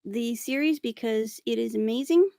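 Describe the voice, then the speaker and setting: Female co-host, high pitch, dry close sound, brief casual reactions. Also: normal speed, gravelly, dark tone, no room sound. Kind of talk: casual chat with short reactions.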